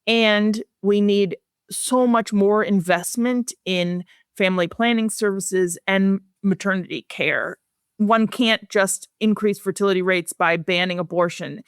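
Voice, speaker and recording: A woman speaking as a guest researcher in a podcast interview, measured, earnest and articulate. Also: medium pitch, clean tone, dry recording, clean studio sound.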